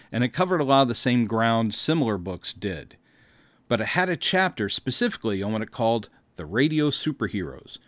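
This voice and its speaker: A man's voice: medium pitch, no echo. A middle-aged American man hosting a podcast, reading scripted first-person narration in a wry, theatrical storytelling manner. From Texas, United States. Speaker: podcast host and director.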